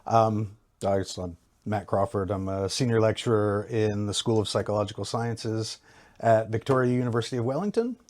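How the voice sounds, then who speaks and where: A middle-aged man from Ohio with an American accent, a psychology lecturer explaining ideas in a measured way, often pausing with ums and restarts, speaking over a video call. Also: on the low side, darkish tone. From Ohio, United States. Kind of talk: explanatory academic talk.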